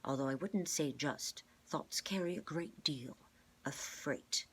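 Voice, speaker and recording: A woman voicing a calm, clever older man in a storybook, measured and formal as he explains. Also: low voice, breathy edge, exaggerated voice. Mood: patient explaining.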